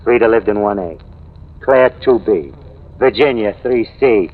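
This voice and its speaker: A man's voice: on the low side, animated, a little slow. A man playing a cocky, knowing American newspaperman in old-time radio drama, insistent and slangy in his talk. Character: cocky, hard-drinking newspaperman theorizing about a killer. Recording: tinny, noisy vintage radio recording.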